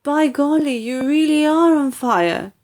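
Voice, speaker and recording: A woman storyteller puts on a young boy from a children's storybook. He is cheeky yet polite, speaking a little high, with an eager and cheerful delivery. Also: a little slow, a little mellow. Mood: cheeky reassurance.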